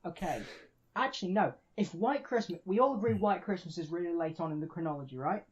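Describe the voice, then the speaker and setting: A man's voice: sits high, animated, fairly quick, slightly rough. A man co-hosting a podcast, casually debating a TV show and often restarting his sentences. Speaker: podcast co-host. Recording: dull lo-fi sound.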